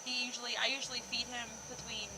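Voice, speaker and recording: A woman's voice: on the high side, little pitch movement, fast, heavy background noise. A woman in her twenties giving a casual, opinionated rant in a blunt, emphatic way, seated indoors a few feet from the camera. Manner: blunt, emphatic, frequent swearing. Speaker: host of a parenting discussion vlog. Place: indoor room, seated in a group.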